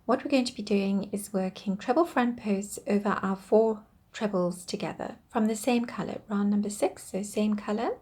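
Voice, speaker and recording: A woman teaching a crochet pattern step by step, counting stitches aloud, patient and soft, her voice a little worn. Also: medium pitch, moderate pace, hardly any pauses. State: losing her voice, clearing throat. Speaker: crochet instructor. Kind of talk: step-by-step craft tutorial.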